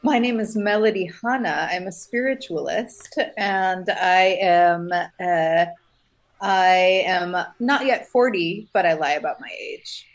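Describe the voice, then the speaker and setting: Slow, lowish-voiced woman playing a tabletop game. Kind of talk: casual table talk, describing own character.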